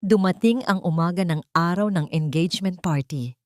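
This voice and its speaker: Low-voiced woman, solemn story narrator. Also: no echo, clean studio sound. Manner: slow, solemn, measured. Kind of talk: scripted dramatic narration.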